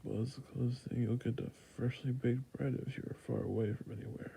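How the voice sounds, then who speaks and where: A man playing a friendly, patient fantasy shopkeeper in an ASMR roleplay, speaking in a soft, breathy near-whisper with creaky endings and a gentle sales manner. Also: medium pitch, fairly even intonation, a little fast. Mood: soft, helpful.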